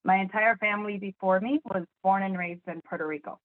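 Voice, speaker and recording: A woman's voice: medium pitch, fairly even intonation, a little fast, no echo. A woman in her forties from Chicago, with Spanish as her first language, talking about herself on an online panel in a chatty way and laughing easily. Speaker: interpreter, panelist. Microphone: video call. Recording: tinny phone-like call audio.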